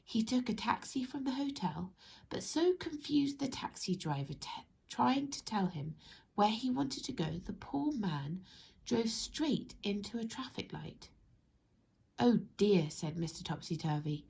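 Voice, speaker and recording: A woman reads a children's story aloud in measured, expressive phrases. Her pitch moves freely up and down, and her voice has a husky, crackly texture as she talks straight to young listeners. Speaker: storybook reader.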